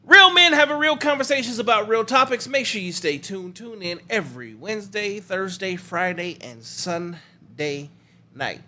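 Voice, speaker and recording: A man's voice: sits high, animated, moderate pace, sharp tone. A man hosting a casual talk show, hyped and teasing as he chats with his guest. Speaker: podcast host. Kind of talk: casual chat.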